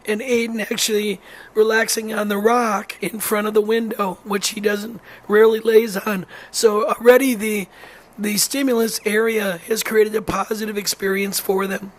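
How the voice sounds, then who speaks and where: A hoarse wolf center educator who is losing their voice, narrating a video informally with many pauses, ums and restarts. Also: medium pitch, flat delivery, low-quality recording. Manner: halting, frequent ums and restarts.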